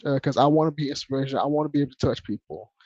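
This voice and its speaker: A man speaking softly in a relaxed, low-key way as a guest in a casual podcast chat. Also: very fast, breathy edge, dry recording, dull audio. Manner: low-key, laid-back and soft-spoken.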